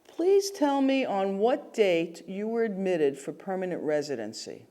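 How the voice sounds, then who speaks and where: Woman, interviewer, scripted role-play, animated intonation, polite formal tone. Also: medium pitch, measured pace. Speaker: interviewer asking citizenship questions.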